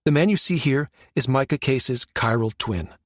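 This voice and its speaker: A man voicing a composed, frank female military doctor in an audiobook, measured and explanatory. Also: mid-range voice, expressive, dry recording, studio quality, close to an everyday voice. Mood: weary.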